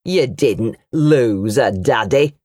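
A woman voicing an angry, bullying middle-aged farm uncle in a storybook, booming and coarse. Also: expressive, slow, breathy edge, no room sound, clean studio sound, heavily acted. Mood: sneering, angry. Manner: coarse, shouting and sneering.

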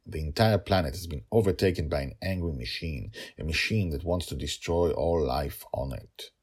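A heroic pirate father, acted out by a man reading a story, urgently coaching his small daughter. The voice is gravelly and husky with a non-native English accent. He speaks with commanding, emphatic swings in pitch. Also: animated, moderate pace, exaggerated voice.

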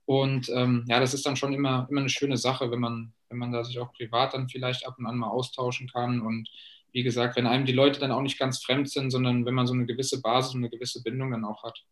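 Even-toned man in his late twenties. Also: medium pitch, brisk. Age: late 20s. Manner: even, thoughtful, many fillers and restarts. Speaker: professional footballer. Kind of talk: answering fan questions in a video call. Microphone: video call.

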